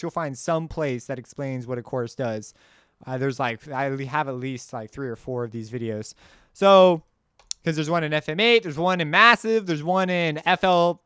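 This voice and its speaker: A man hosting a casual music software tutorial, talking in an informal, rambling way and often correcting himself as he goes. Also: fairly high pitch, smooth, no echo, studio quality.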